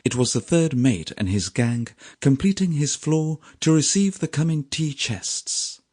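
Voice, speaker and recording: A man reading a literary sea story as an audiobook narrator, with a measured, theatrical storytelling delivery. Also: a little high, a little mellow.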